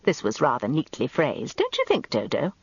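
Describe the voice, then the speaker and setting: An actress playing a prim middle-aged barrister's wife, narrating a radio story in a confiding, arch manner. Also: female voice, low voice, huge pitch swings, moderate pace, vocal fry, breathy edge, no room sound, full character voice. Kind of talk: dramatised scripted storytelling. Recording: slightly dull, lo-fi radio recording, dry.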